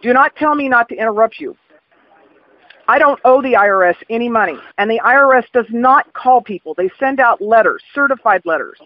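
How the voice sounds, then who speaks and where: Caller with a very high-pitched voice, casual and blunt, on dull phone audio. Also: male voice, animated, a little fast, no echo. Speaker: call recipient. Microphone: telephone, dry close pickup. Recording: dull, lo-fi phone call recording.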